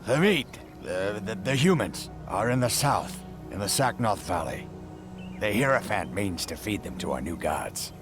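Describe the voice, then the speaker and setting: An actor playing a cornered, scornful beast captive in a video game, agitated and contemptuous. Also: male voice, on the low side, lively intonation, unhurried, music in the background. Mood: strained confession. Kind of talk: dramatic video game acting.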